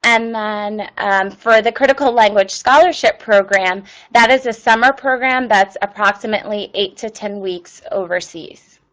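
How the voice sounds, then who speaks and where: A woman hosts an online presentation, pausing often with ums and uhs. Her voice sits at an ordinary middle pitch. She answers viewer questions in a plain, informative way. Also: a bit bright, rough recording. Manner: reads viewer questions aloud. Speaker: webinar presenter.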